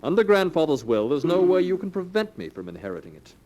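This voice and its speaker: An actor playing a smooth, callous young heir in a 1940s radio mystery, with a suave air of feigned innocence. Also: male voice, on the high side, sing-song, fast talker, darkish tone. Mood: smug, defiant. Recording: noisy old 1940s radio recording.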